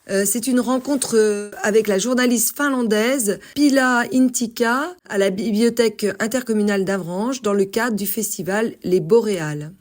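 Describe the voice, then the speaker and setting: A woman's voice: medium pitch, darkish tone. A woman presenting and introducing a library talk in a formal tone, with a few hesitations. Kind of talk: announcement.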